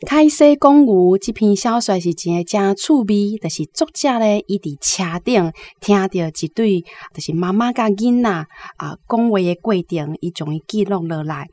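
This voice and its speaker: A woman's voice: mid-range voice, lively intonation, moderate pace, few pauses, dark tone. A woman with a Taiwanese accent giving conversational literary commentary as a guest professor.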